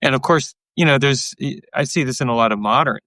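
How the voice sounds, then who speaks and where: A man hosting a podcast interview, talking thoughtfully and haltingly, often repeating words as he searches for them. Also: medium pitch, pushed and bright, no echo, studio quality. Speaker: podcast host. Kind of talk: casual interview talk.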